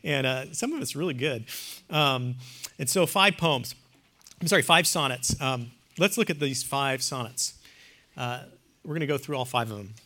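Earnest male lecturer, hushed to loud. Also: mid-range voice, moderate pace. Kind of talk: classroom lecture on theology and poetry.

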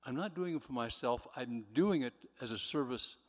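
A man's voice: medium pitch, animated, normal speed. A man giving an earnest, somewhat rambling personal testimony from the audience at a religious gathering. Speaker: audience member. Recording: reverberant hall.

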